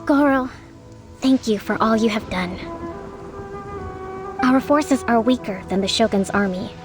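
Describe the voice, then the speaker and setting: A woman voicing a polite, measured young priestess who leads a resistance in a video game, speaking formally and courteously. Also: a little high, music in the background, close to an everyday voice. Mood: courteous, composed. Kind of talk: scripted game dialogue.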